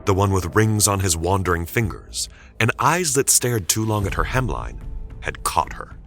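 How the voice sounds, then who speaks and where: A man narrating a scripted dramatic story deliberately, with suspenseful pauses. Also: low voice, lively intonation, a bit bright, faint music behind.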